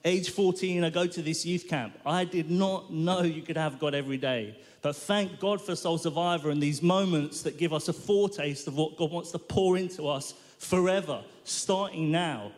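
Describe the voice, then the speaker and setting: A man giving his testimony at a live worship event, animated and self-mocking as he tells his story. His voice sits a touch high for a man, and it carries through a big hall with a long reverb. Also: normal speed, hardly any pauses. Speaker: guest speaker. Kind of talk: personal testimony sermon.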